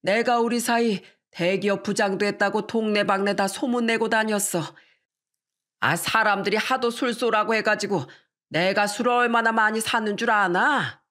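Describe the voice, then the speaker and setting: A woman's voice: medium pitch, fairly even intonation, moderate pace, a bit bright, lightly acted. A woman voicing a doting, sentimental father-in-law of about seventy in a story, in a folksy, fatherly way. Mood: fond, conciliatory. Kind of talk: scripted story dialogue.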